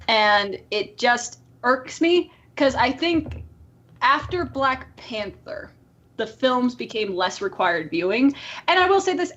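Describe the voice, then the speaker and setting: A woman with a high voice chats casually about films as a podcast co-host. She is emphatic and opinionated, and tends to ramble through her points. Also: fairly bright tone, muffled recording. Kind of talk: casual opinionated film discussion.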